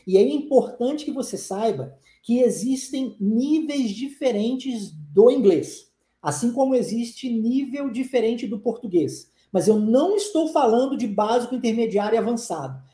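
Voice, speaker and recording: A man's voice: very high voice, animated, moderate pace, mellow. Emphatic man teaching in an echoey room. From born in Brazil, raised in the United States. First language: English. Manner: emphatic and persuasive, repeats rhetorical questions. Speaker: English teacher. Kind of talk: live online lesson, talking to viewers.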